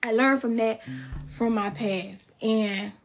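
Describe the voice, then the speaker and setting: A woman's voice: a little high, steady tone, slow pace, music in the background. A thirty-year-old woman hosting her own podcast, talking casually and emphatically and repeating her points for effect. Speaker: podcast host. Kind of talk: casual solo podcast talk.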